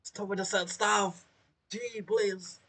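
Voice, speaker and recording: A man's voice: high-pitched, steady tone, slow, gravelly, sharp tone, breathy edge. A man giving casual, joking, reactive commentary as he streams a game. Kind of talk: casual commentary over gameplay.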